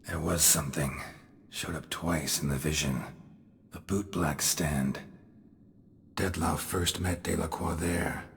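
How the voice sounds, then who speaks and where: An actor playing a gruff, laconic monster hunter in a video game, with a gravelly, hushed voice and deadpan, clipped delivery. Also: male voice, rumbling low, slow, a little hiss or hum, heavily acted. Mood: grave, pensive. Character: gruff, laconic male monster-hunting mercenary, world-weary and unflappable. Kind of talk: video game cutscene acting.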